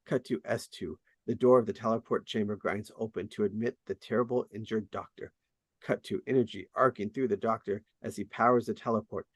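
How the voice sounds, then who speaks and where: A man reads stage directions for a drama table read. His voice sits fairly low, and the sound is very dry, with hardly any room around it. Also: breathy edge, dry recording. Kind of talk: scripted narration at a table read.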